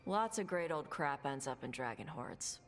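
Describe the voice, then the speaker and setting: A woman playing a blunt, laconic young dragon hunter and treasure seeker in a video game, talking gruffly and informally. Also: deep voice, expressive, normal speed. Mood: matter-of-fact. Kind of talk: video game dialogue acting. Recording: music under the dialogue. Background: game music.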